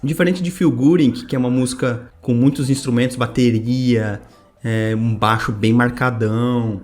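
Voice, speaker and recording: A young Brazilian man with a São Paulo state accent speaks casually to his viewers over background music. His mid-pitched voice moves through personal anecdotes in loose slang, slipping into quoted dialogue as he goes. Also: normal speed. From Campinas, Brazil. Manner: informal, slangy, animated retelling with quoted dialogue. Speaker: video creator telling personal stories.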